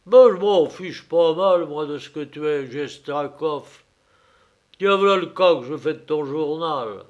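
Man doing a loud jeering masked drunk. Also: fairly high pitch, a little slow, highs cut off, close to an everyday voice. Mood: rowdy. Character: rowdy drunk masked man, bossy and mocking.

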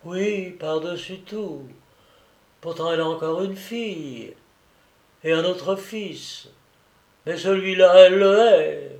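A man reading aloud, voicing a gentle young woman who speaks tenderly through grief. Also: sits high, takes it slowly, gravelly, long pauses, voice pushed up, heavily acted. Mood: sorrowful. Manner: tender, pleading. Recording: echoey room.

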